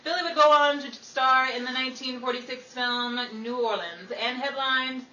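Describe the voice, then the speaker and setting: A woman's voice: sits high, moderate pace, pushed and bright. A woman playing a showy 1940s Hollywood radio newscaster, reading scripted trivia in a theatrical style. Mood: presentational. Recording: roomy, distant mic with faint noise.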